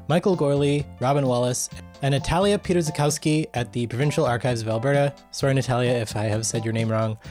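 A man hosting a podcast, reading out credits in a casual, friendly way. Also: mid-range voice. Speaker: podcast host. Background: guitar music.

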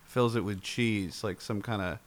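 A man's voice: on the low side, steady tone, a little slow. A man co-hosting a podcast with casual comedic banter, wry and given to absurd exaggeration. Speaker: podcast co-host.

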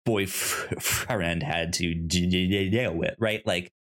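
A man with a frequent stutter talking casually as a co-host, halting and rambling as he repeats sounds and words. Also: low-pitched, steady tone, fairly bright tone. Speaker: podcast co-host. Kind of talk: casual conversational film discussion.

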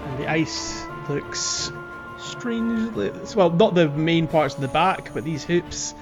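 A man co-hosting a casual video game podcast, recapping with animated, dramatic emphasis. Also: on the high side, takes it slowly. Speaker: podcast co-host. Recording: music playing under the voice. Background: background music.

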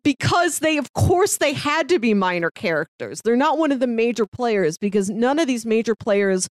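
A woman's voice: on the high side, expressive, moderate pace, no room sound, studio quality. A woman co-hosting a podcast, chatting casually and explaining her take on a show with emphasis. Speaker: podcast co-host. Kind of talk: casual TV discussion chat.

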